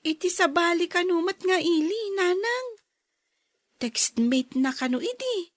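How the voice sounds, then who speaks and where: Woman doing young daughter in radio drama, super high voice, gossipy n helpful, dry recording. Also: expressive, measured pace, no room sound. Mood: curious. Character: young daughter of farm worker, curious and helpful. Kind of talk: audio drama acting.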